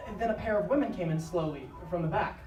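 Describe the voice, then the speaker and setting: A woman's voice: fairly low pitch, fast, a little mellow, faint music behind. A woman narrating a scripted drama live. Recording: echoey live stage, distant, faint noise.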